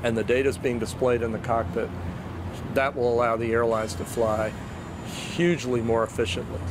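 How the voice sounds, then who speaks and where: A man's voice: medium pitch, flat delivery, normal speed, background noise. An older man giving expert commentary in an interview.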